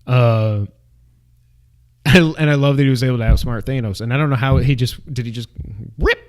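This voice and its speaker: A man talking casually and excitedly as a co-host of a movie review, with an exclamatory fan's manner. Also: medium pitch, moderate pace. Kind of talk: casual chat.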